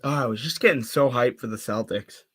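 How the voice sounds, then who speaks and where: A man of twenty giving fan play-by-play on a live stream, calling the action quickly and chatting with viewers between plays. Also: medium pitch, pushed and bright, breathy edge. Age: 20s. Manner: rapid call of the action mixed with chatty asides to viewers.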